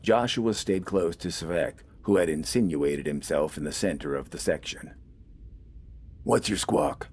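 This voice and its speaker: A man's voice: a bass voice, moderate pace, few pauses, darkish tone, slight background noise. A man reading scripted fiction as an audiobook narrator.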